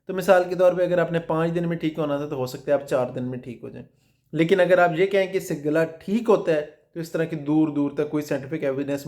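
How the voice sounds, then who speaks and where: A man explains a nutrition topic to his viewers. His voice sits fairly high for a man. He teaches step by step, poses questions and answers them himself, and circles back to stress each point. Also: darkish tone. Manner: didactic, asks rhetorical questions, repeats points. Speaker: food specialist host. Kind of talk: explanatory health talk to camera.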